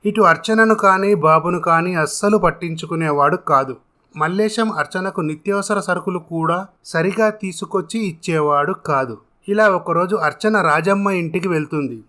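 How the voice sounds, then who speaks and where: A man's voice: sits high, normal speed, sharp tone. A man narrating a scripted moral story in a storytelling style.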